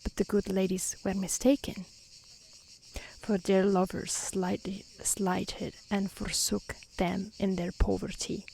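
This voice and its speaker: A woman with an Italian accent speaking softly and calmly in an ASMR style, choosing her words carefully as a non-native speaker, outdoors. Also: medium pitch, slow pace, vocal fry, slight background noise, low-quality recording. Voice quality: soft, gentle voice. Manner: calm, careful delivery in non-native speech. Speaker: streamer and storyteller. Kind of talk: soft-spoken ASMR reading and chat. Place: outdoors in nature near mountains.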